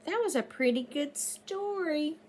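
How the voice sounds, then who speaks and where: A middle-aged woman reading aloud to young children like a teacher, sing-song and animated, often breathy, stretching key words and putting questions to her listeners. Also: high voice, a little slow, a little hiss or hum. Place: small indoor room, seated by a door.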